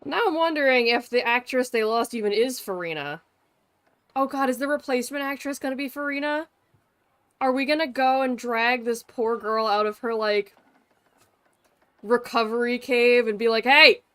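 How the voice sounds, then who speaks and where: A woman's voice: on the high side, moderate pace, a bit bright, smooth, dry recording. A young woman livestreaming with casual, irreverent game commentary, sarcastic and sweary.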